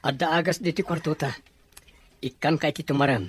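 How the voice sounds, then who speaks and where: A woman's voice: low-pitched, brisk, slight background noise, natural voice. A woman playing a caring, practical workmate with an Ilocano accent in a radio drama. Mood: concerned.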